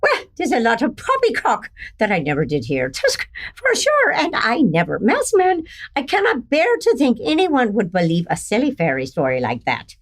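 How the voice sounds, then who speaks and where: Radio western villainess: crafty older outlaw matriarch, high and very animated, folksy and theatrical. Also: female voice, moderate pace. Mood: mock-innocent indignation. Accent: Irish brogue, later Texan. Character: sly older woman outlaw mastermind posing as Irish churchgoer. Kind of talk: old-time western radio acting.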